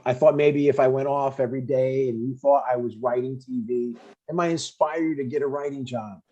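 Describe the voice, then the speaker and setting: An earnest dad in his sixties, played by a man at a comedy table read, eager and gently stubborn. His voice is dark and husky, and the recording is slightly dull. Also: medium pitch, steady tone, a little fast, lightly acted. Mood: defensive, hurt. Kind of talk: conversational comedy script read aloud.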